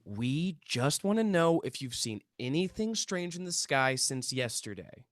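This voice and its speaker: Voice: medium pitch, sing-song, measured pace, no room sound, studio quality. A performer playing an earnest, persuasive young male paranormal investigator in a script table read. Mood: placating, nervous.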